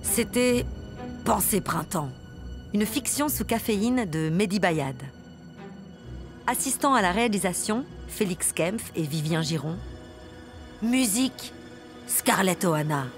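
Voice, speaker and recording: A woman reads scripted end credits for a radio drama. Her voice sits at a medium pitch, in an announcer's delivery, with faint music behind her. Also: lively intonation, measured pace, long pauses.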